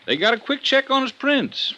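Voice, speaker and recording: A man's voice: very high-pitched, sing-song, normal speed. An actor playing a stubborn, folksy male prosecutor with a courteous manner in a vintage radio drama. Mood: grave surprise. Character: stubborn, folksy male district attorney's official. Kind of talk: 1950s radio drama acting. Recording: noisy vintage radio recording.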